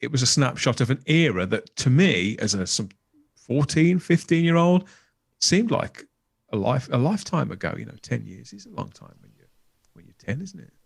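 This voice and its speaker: A middle-aged man with a medium-pitched voice talks casually as a guest. He rambles enthusiastically, circling back and reminiscing in an informal way. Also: big pitch swings, normal speed, creaky, breathy edge. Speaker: podcast guest, graphic designer. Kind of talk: casual chat.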